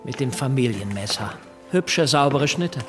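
An actor in a radio drama playing a precise, restrained brother with a measured, wry manner. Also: male voice, medium pitch, lively intonation, music in the background, close to an everyday voice. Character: dry, precise, restrained man, wryly ironic brother.

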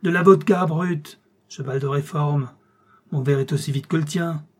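A man voicing a gruff, hot-tempered, hard-drinking old Russian general in an audiobook, blustering and barking his orders. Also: fairly high pitch, steady tone, normal speed, a little mellow, natural voice. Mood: irritated, mocking. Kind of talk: audiobook character dialogue.

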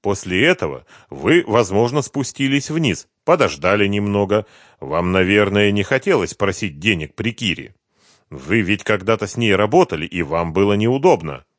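A man voicing a calm, commanding detective who questions a suspect in an audiobook, level and firm. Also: on the low side, moderate pace, no echo, clean studio sound. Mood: calm, probing. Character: calm, relentless male detective interrogating a suspect. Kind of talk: audiobook detective novel dialogue.

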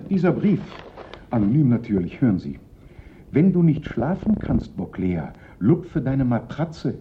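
A theatrical, whining police prefect. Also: male voice, a little high. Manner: theatrical, indignant, lamenting. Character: pompous, self-pitying police prefect, wounded and whining. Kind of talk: German radio drama acting. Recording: dull, lo-fi old radio recording.

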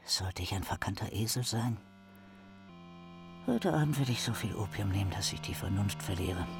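A tormented, creaky-voiced philosopher. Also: male voice, lively intonation, moderate pace. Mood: bitter, brooding. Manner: theatrical, declamatory, swinging from muttering to shrill outbursts. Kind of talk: radio drama monologue. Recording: music behind the voice.

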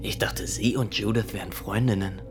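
An actor in a German radio drama playing a rigid, zealous young inspector with a formal, clipped, stern way of talking. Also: male voice, on the low side, normal speed, over music, lightly acted. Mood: cold, controlled. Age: young adult. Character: rigid young male fox-hunting inspector, cold and zealous.

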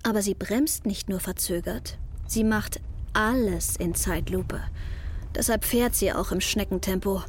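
A woman's voice: mid-range voice, measured pace, a little hiss or hum, lightly acted. A woman playing a sixteen-year-old artistic daughter in a German radio drama, dry, matter-of-fact and colloquial as she talks and narrates. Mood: dry, wry.

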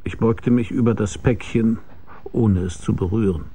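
An actor playing a hard-boiled, world-weary private detective, narrating a radio drama in a dry, sardonic, laconic way. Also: male voice, on the low side, fairly even intonation, normal speed, warm tone, slight background noise, natural voice. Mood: terse narration.

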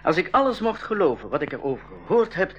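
An actor playing a friendly biologist who explains things patiently in a radio play for schools. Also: male voice, high-pitched, sing-song, fast talker, lo-fi sound. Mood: mysterious, explaining. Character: William King, friendly adult male jungle biologist.

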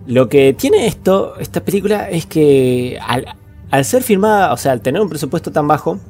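A man's voice: on the high side, animated, normal speed, darkish tone, faint music behind. A man with an Argentine accent co-hosting a podcast, chatting casually about films in slangy language with plenty of swearing. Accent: Argentine Spanish. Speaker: podcast co-host. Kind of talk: casual film chat.

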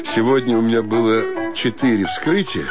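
An actor playing a meek, absent-minded young doctor in a radio drama, plaintive and hesitant. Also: male voice, medium pitch, moderate pace, over loud music, lightly acted. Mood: plaintive, sheepish.

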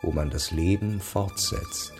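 A man solemnly reciting poetic sacred hymns in a radio play, with measured delivery. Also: low voice, over music.

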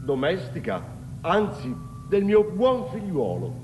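A man's voice: sits high, wildly swooping pitch, takes it slowly, heavily acted. An actor playing a stubborn, matter-of-fact male guardian, an underling in an absurdist theatrical radio drama. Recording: echoey hall, distant, loud music behind.

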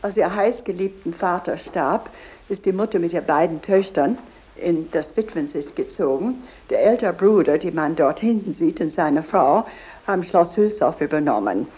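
A woman's voice: fairly low pitch, expressive, moderate pace, warm tone, close to an everyday voice. A woman as a museum guide, addressing visitors directly and explaining in a didactic way. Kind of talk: explanatory guided-tour talk.

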